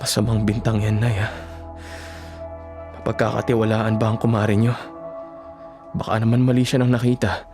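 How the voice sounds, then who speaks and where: A man's voice: fairly low pitch, level tone, normal speed, long pauses, over music, natural voice. An actor playing an earnest, defensive young working man with a Filipino accent in a radio drama. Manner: earnest, protesting.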